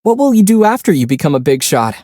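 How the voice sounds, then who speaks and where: A man voicing a calm, gentle young traveler hero in a video game, speaking thoughtfully with mild teasing. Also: fairly high pitch, background noise. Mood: sensible, questioning. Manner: measured, thoughtful, mildly teasing. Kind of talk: video game character voice lines.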